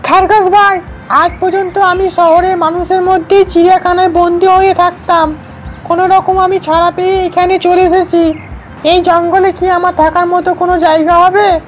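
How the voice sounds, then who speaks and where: Woman doing innocent little monkey for kids cartoon, very very high pitched, polite and wide-eyed. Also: flat delivery, moderate pace, slight background noise. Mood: polite, hopeful. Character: polite, naive monkey newly escaped from a zoo. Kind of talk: children's moral-story cartoon dialogue.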